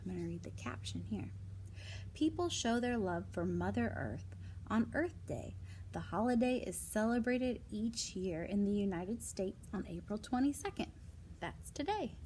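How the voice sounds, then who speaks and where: Woman, mid-pitched voice, children's read-aloud, casual asides, simple child-directed explanations, some background noise. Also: animated, measured pace, darkish tone.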